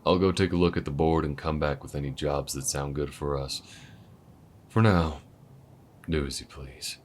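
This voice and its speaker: A man voicing a calm, weathered alien gunslinger who mentors the listener, speaking low-key and laid-back, sometimes near a whisper, in an audio roleplay. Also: normal speed, slight background noise. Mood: steady, easygoing. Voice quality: very deep, low-key drawl.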